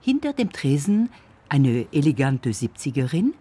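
A woman narrating a scripted radio feature in an expressive, storytelling manner. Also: low-pitched, measured pace, breathy edge.

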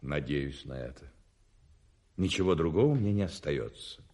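An actor in a radio play, playing a fussy, anxious hotel manager who is obsequious about gentlemen and talks in a flustered, hesitant, repetitive way. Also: male voice, very deep voice, lively intonation, normal speed, a little hiss or hum. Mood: fussy, self-important. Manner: flustered, hesitant, repeating himself. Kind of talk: radio play acting in Russian.

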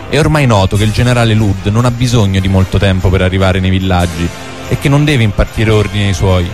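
A man narrating a historical radio drama from a script. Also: fairly low pitch. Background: music under the voice.

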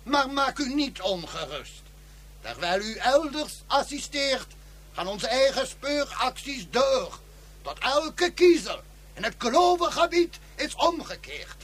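A grandiloquent official holds forth in a high, reedy voice, piling up ceremonial phrases with self-important gravity. Each sentence is drawn out and weighty, as if every word deserved a medal. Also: male voice, very animated, slow, fairly bright tone, full character voice. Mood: solemn. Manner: ornate, solemn speechmaking. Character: pompous long-winded male official, middle-aged. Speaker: dignitary giving a ceremonial speech. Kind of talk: radio play acting.

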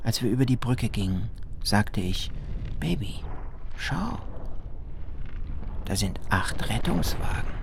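A low-voiced husband from New Orleans recounts surviving a flood. He is weary and indignant, in a first-person radio drama style. Faint ambient noise runs behind him. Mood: indignant, bitter.

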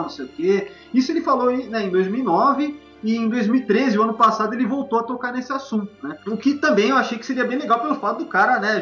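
A man's voice: sits high, bright, forceful tone. A Brazilian man hosting a casual podcast chat in a rambling way, often tacking tag questions onto his sentences. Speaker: podcast host. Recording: echoey room, dull lo-fi line, music bed.

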